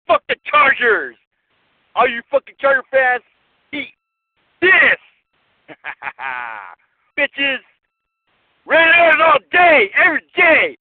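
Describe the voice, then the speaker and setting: A man shouts down a tinny phone line in a very high, wildly swinging voice. He is a boastful fan caller delivering a profane, taunting rant. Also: no echo. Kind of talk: profane hyped-up trash-talk rant. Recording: tinny, muffled telephone line.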